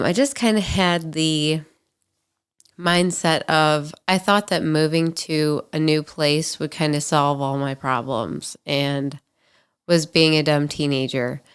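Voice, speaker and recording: Female podcast host, 20s, nasal and congested, low pitch, casual candid storyteller. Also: smooth. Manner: candid, chatty, frequent ums and likes. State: nasal, stuffy from allergies.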